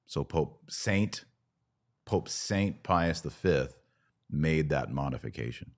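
A man's voice: extremely low pitch, expressive, takes it slowly. A man hosting a conversational religious commentary podcast, opinionated and informal in manner. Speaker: podcast host.

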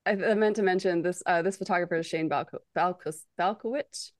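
A woman's voice: lowish voice, fairly quick, dry recording, studio quality. A woman chatting casually on a panel, informal and wry, with colorful slang. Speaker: photography panelist presenting image examples. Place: remote webinar, close dry sound.

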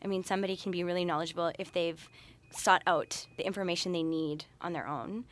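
A woman's voice: fairly low pitch, fairly even intonation, a little fast. A woman speaking as an interview guest, explaining her views in a thoughtful, conversational way. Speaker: interview guest, sexuality educator and researcher.

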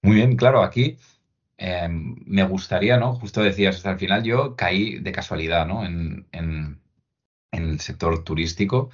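A man hosting a conversational podcast interview. His voice sits very low and sounds husky. He talks hesitantly, piling up restarts and tag questions, over faint music in a slightly dull, lo-fi recording. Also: normal speed. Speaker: podcast host.

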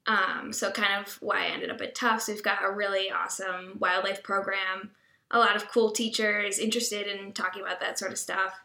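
A woman's voice: medium pitch, level tone, normal speed, sharp tone. A young woman from the Boston area, a veterinary student, chatting casually and easily on a podcast. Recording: roomy echo.

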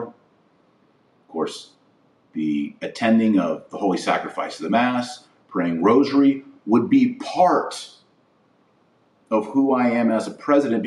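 An American man delivering a political monologue as a podcast host, emphatic and deliberate, stressing his phrases with pauses. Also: on the low side, a little mellow. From United States. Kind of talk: political platform monologue, speech-like. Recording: roomy echo, distant from mic.

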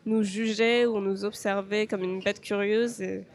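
A woman's voice: mid-range voice, steady tone, unhurried. A young woman from France, a podcast guest speaking hesitantly, with frequent fillers and restarts. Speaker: interview guest. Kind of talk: podcast interview.

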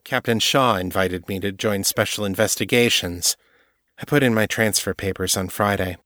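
A man voicing a young woman police detective, blunt and glum, in a clearly raised voice. The read is close and dry. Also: lowish voice, moderate pace, sharp tone, no room sound.

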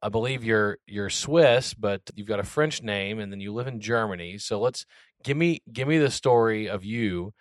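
Low-voiced laid-back podcast host man. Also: normal speed, dry recording, clean studio sound. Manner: relaxed, chatty, frequent filler words. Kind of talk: casual interview chat.

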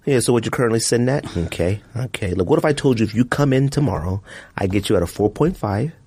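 A man's voice: fairly low pitch, expressive, quick pace, darkish tone, dry recording. Man playing a slick, sleazy sales manager. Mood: slick, pushy. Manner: smooth-talking, pushy sales patter.

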